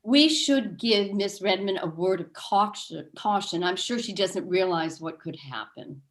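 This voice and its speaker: A woman playing a stern, self-righteous, sharp-tongued suburban neighbour, curt and disapproving, in a staged play reading. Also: medium pitch, expressive, a little slow, natural voice. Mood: cautioning.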